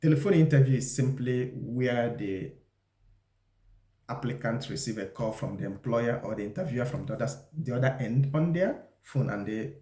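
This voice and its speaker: A man presenting an instructional talk, explaining things deliberately and speaking straight to the viewer. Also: mid-range voice, expressive, dark tone. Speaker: video presenter giving job interview advice. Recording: echoey, roomy sound.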